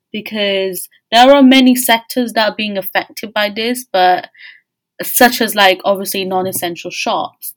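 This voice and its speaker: A woman's voice: mid-range voice, fairly bright tone, rough recording. A woman hosting a podcast in casual interview chat, talking informally and often saying "like". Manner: informal, frequent "like". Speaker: podcast host.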